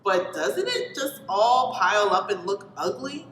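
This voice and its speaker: A woman voicing an eager, excitable young girl in a children's storybook, with an exclamatory, questioning manner. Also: medium pitch, a little slow. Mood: curious. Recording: reverberant hall with background noise.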